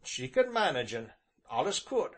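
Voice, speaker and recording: A man's voice: mid-range voice, big pitch swings, normal speed, fairly bright tone. A man voicing a proud, hospitable middle-aged father with an English rural dialect and a folksy, hearty manner.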